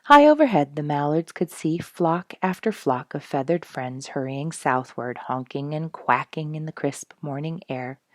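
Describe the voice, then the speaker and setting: A woman reading a children's animal story in an expressive, scripted storytelling style, with a husky, creaky voice. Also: sits low, measured pace, few pauses, no room sound, studio quality. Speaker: storybook reader and host.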